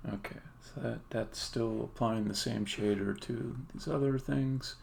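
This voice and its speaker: A man giving a casual live-stream demo as a game developer, halting and muttering to himself as he works. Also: medium pitch, vocal fry, a little mellow. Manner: hesitant, halting, muttering to himself while working. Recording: noisy room, keyboard and mouse clicks.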